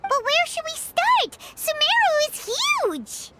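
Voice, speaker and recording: A woman voicing a small, excitable, childlike fairy companion with a squeaky voice in a video game. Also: takes it slowly, heavy background noise, heavily acted. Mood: eager. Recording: loud music behind the voice. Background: loud game music.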